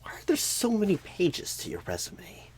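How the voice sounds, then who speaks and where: A man playing a clueless, bumbling job recruiter in a comedic ASMR role-play, rambling with odd pauses and repeated words, flustered but eager. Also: sits high, wildly swooping pitch, darkish tone, a little hiss or hum. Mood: eager, bluffing.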